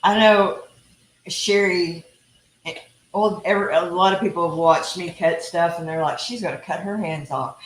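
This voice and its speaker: A woman's voice: on the low side, a little slow, reverberant, rough recording. Chatty Texan woman cooking at home. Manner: chatty, teasing, laughs often. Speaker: cooking show host. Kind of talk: casual live cooking chat. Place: home kitchen.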